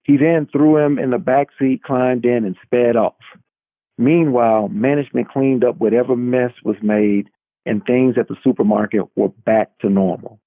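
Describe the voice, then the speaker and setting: A man's voice: mid-range voice, steady tone, normal speed, no echo. Man narrating a novel, slightly lo-fi. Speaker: author reading own work.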